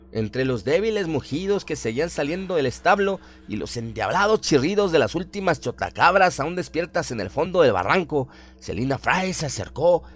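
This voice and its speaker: A man narrating a horror radio story in a solemn, literary, suspenseful manner. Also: medium pitch. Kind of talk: dramatic scripted horror narration. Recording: music behind the voice. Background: music.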